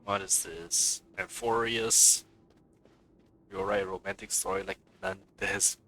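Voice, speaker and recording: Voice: low-pitched, steady tone, slow, sharp tone, slight background noise, poor audio. A streamer reacting casually and chatting while playing a game. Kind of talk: casual live game commentary.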